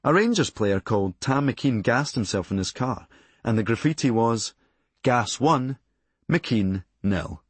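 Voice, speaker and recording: A man with a Glasgow Scottish accent reading a scripted memoir, with a deadpan, darkly humorous delivery. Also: mid-range voice, a little slow, husky, dry recording. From Glasgow, Scotland. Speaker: audiobook narrator and author.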